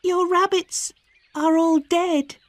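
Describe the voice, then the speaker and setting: A woman voicing an emotional, eager nine-year-old girl in a storybook reading, high and pleading. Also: fairly even intonation, slow, no echo.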